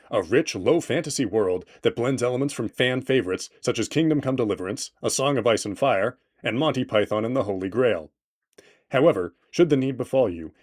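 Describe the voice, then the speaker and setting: A man's voice: medium pitch, darkish tone. Man narrating a playful scripted promo. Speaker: game creator presenting an introduction video.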